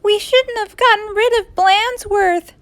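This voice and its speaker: A woman voicing a gang of excitable, mischievous schoolkids, exclaiming eagerly in a children's storybook reading. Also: very high voice, measured pace, voice pushed up, exaggerated voice. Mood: uneasy. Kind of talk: children's storybook read-aloud.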